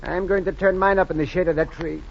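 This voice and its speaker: A man's voice: sits high, steady tone, quick pace, vocal fry, slight background noise. An actor playing a proud, weary, grumbling Mexican horseman with a Spanish accent, in theatrical 1940s radio style. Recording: muffled 1940s radio recording.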